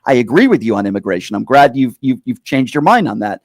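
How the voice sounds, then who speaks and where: A man's voice: mid-range voice, very animated, fast talker, no echo, clean studio sound. An American man hosting a political call-in show, arguing insistently, cutting in and stressing key phrases with repeated pointed questions. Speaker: talk show host. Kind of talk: heated political call-in debate.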